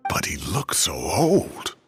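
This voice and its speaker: An actor playing an indignant, theatrical old retired professor in a video game, exasperated and expressive in his delivery. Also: extremely low pitch, slow, faint music behind, full character voice. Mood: dismayed. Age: older.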